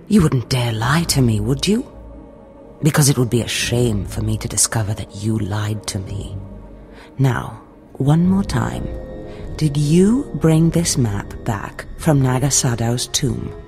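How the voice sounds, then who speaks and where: A woman's voice: extremely low pitch, lively intonation, unhurried, a little mellow, soft background music, exaggerated voice. A woman playing a poised, menacing, condescending Sith lord in a video game, with a theatrical, mock-sweet manner. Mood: mock sweetness. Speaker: villainous mentor.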